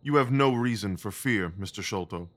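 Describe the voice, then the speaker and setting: A man acting a self-assured, decisive detective in an audio drama, speaking with calm, deliberate authority. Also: lowish voice, close to an everyday voice. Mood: reassuring, directing.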